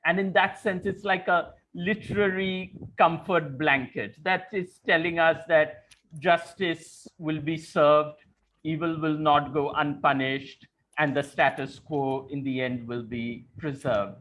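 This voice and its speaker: A man's voice: fairly high pitch, steady tone, measured pace, a bit bright, a little hiss or hum. A man moderating a literary conversation, articulate, putting long and thoughtful questions to a guest. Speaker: interviewer, moderator. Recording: dull, lo-fi call-like audio.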